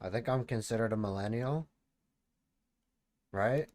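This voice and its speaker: A man's voice: lowish voice, a little slow, a little mellow. A man in his late twenties, streaming and reacting to a video, chatting casually, thinking aloud and swearing. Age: late 20s.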